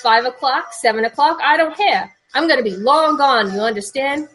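A woman's voice: a little high, sing-song, a little fast, fairly bright tone. A woman voicing a desperate, combative man in debt with a New York accent, in a dramatic story reading. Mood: angry, ranting. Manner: combative, agitated, slangy. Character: desperate, defiant New York man, foul-mouthed debtor.

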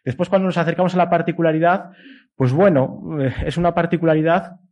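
A man's voice: a little high, steady tone, fast talker. A middle-aged man from Spain with a Castilian Spanish accent, giving a semi-improvised academic talk in a discursive, hesitant way, often adding checking tags. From Spain (Basque Country and Galicia). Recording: dull lo-fi sound.

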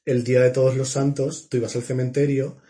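Man, young adult, from La Mancha, mellow warm voice, measured, hesitant fillers. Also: mid-range voice, little pitch movement. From La Mancha, Spain. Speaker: podcast co-host. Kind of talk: casual film chat.

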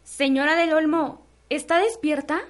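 A woman acting an excitable, superstitious housemaid in a radio soap opera, deferential and dramatic, with a Mexican accent. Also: high-pitched, measured pace, heavy background noise. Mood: hesitant.

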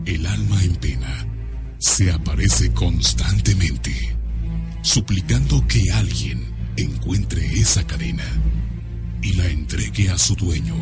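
A man with a Mexican accent narrating a horror radio drama in a solemn, dramatic, deliberate way. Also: sits low. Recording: loud music under the voice.

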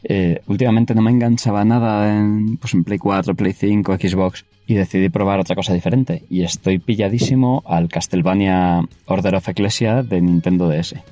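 A man leaves a casual voice message, keenly recommending a game. His voice is very deep and mellow. Faint music plays underneath. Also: moderate pace, no echo. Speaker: listener sending audio.

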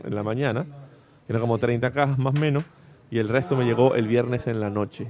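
A man's voice: medium pitch, gravelly, darkish tone. A man chatting casually as a panelist on a podcast, in a slightly dull recording. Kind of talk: casual chat.

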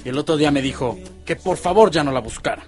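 A man's voice: fairly high pitch, lively intonation, fast talker, a little mellow, soft background music, lightly acted. An actor playing a casual, slangy, mildly rebellious teenage boy with a Mexican accent in a radio soap. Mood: frustrated. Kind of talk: radio soap opera acting.

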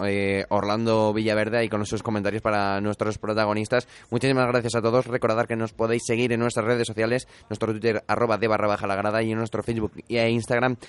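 Smooth-voiced man hosting radio. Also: fairly low pitch, dry recording. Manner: brisk, fills gaps with "eh" and self-corrections. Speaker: radio sports show host. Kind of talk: casual radio sign-off chat.

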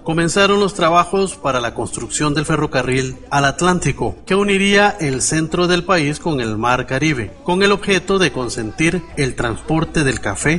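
Higher-voiced man hosting radio over music. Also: a bit bright. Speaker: radio host. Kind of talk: scripted radio narration and presenting.

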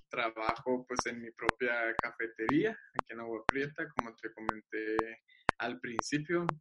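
A man, chatting as a guest on a video call, enthusiastic and quick to laugh, often repeating his words. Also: mid-range voice. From Mexico. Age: 20s. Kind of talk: casual video-call chat. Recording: loud background hiss on a video call.

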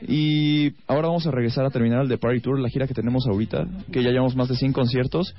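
A man's voice: medium pitch, fairly even intonation, fast talker, highs cut off. A twenty-two-year-old Mexican man, a boy band singer, chatty and joking in a radio interview.

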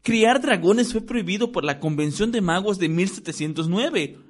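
Emphatic teenage boy, boastful, high animated voice, as a male reader puts him on. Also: normal speed. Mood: explaining. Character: boastful, lazy schoolboy, teenage friend.

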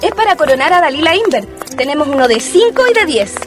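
A woman's voice: high-pitched, sing-song, fast, over music. An actress playing an earnest young woman, a loyal friend of the candidate, speaking eagerly.

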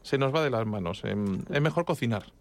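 A man's voice: medium pitch, expressive, fairly quick. A Spanish man hosting a food radio show, chatting casually and joking, with frequent restarts. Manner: joking, rambling with many restarts. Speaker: radio host and food critic.

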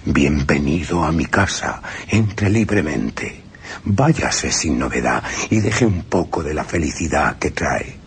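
An actor voicing an old male vampire count, who gives a slow, whispered, formal welcome in a radio drama. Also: a bass voice, expressive, breathy edge, exaggerated voice. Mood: menacing welcome. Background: music.

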